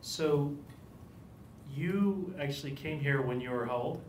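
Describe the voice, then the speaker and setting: A man's voice: fairly high pitch, measured pace, slight background noise. Rambling male interviewer, echoey and distant. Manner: rambling, hesitant, laughing often. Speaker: interviewer, event director. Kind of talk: casual interview questions. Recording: echoey room picked up from a distance.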